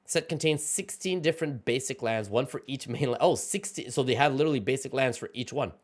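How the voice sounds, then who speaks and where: A man hosting a card game channel, giving casual excited reaction commentary as he reads card text aloud, his voice going hoarse and his throat often clearing. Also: mid-range voice, fairly quick. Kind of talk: casual reaction commentary while scrolling an article.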